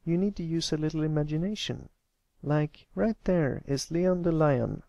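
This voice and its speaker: Earnest little boy pony, played by a man, gentle and hesitant, lifted voice, storybook cartoon style. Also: a little high, moderate pace, creaky, no echo, muffled recording, pitched above the performer's own voice. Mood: helpful, explaining. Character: shy, earnest young male cartoon pony, comforting a friend.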